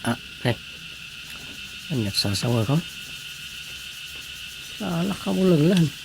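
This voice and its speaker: A man's voice: on the high side, big pitch swings, slow, vocal fry, background noise, heavily acted. A man with an Ilocano accent playing a grumbling village official who mutters and muses to himself in a radio comedy drama. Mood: pondering, grumbling.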